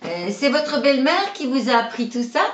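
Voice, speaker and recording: A woman voicing a polite male TV interviewer who asks measured, formal questions. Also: sits high, big pitch swings. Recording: roomy, dull lo-fi sound with faint noise.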